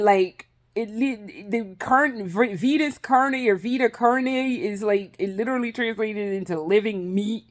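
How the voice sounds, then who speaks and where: A woman vlogging in a casual, rambling way, swearing freely, laughing often and restarting her sentences. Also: a little high. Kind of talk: casual rambling vlog chat.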